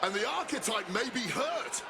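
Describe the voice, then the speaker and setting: A man playing a dramatic, excitable play-by-play commentator calling pro wrestling in a video game. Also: very high-pitched, normal speed, gravelly, exaggerated voice. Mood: excited, urgent. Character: excitable male wrestling announcer, dramatic and shocked. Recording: loud arena noise behind the voice. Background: noisy wrestling arena.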